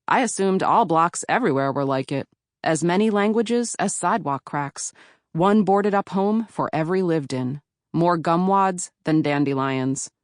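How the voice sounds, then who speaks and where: A woman from Philadelphia narrates her memoir in a calm, measured voice that sits low in pitch. The sound is dry and close, with no room around it. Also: no room sound. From Philadelphia, USA.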